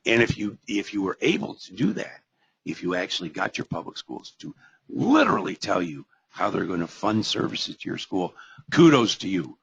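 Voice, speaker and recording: Older man, gravelly hoarse webinar presenter. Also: low voice, big pitch swings, moderate pace, a bit bright, dry recording. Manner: folksy, digressive, with rhetorical questions and many fillers. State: losing his voice. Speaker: webinar presenter on special education. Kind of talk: conversational live webinar presentation. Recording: dull, lo-fi webinar audio.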